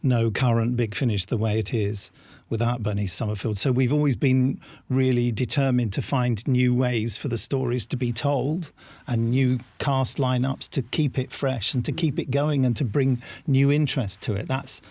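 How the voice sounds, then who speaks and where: A man with a gravelly, creaky voice of medium pitch speaks in a round-table interview as a producer. The sound is dry and close. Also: steady tone, normal speed, a little mellow, no room sound. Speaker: producer at an audio drama company.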